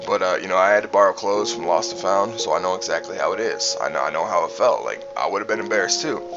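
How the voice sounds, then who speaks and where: A man's voice: low-pitched, fast talker, muffled recording. A man telling personal stories in a casual, rambling, joking way, swearing freely as a streamer does. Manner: heavy swearing, frequent "you know" and "man". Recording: music playing behind the voice. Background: background music.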